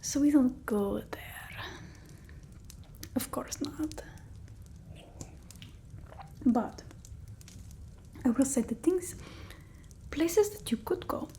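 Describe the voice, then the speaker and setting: A woman's voice: high voice, lively intonation, very slow, long pauses, full character voice. Woman playing a whispering, haughty vampire mistress. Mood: haughty, instructing. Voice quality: breathy whisper, soft voiced in places. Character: haughty fussy female vampire mistress instructing her familiar. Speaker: ASMR creator. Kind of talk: whispered ASMR roleplay.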